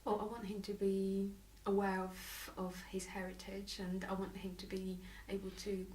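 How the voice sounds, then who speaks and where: Polish mum answering interview questions, lots of ums, roomy echo, faint hiss. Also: female voice, medium pitch, completely flat delivery, normal speed, a little mellow. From Poland. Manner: hesitant, many fillers and restarts. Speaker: interviewee, parent. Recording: echoey room, mic at a distance, faint noise.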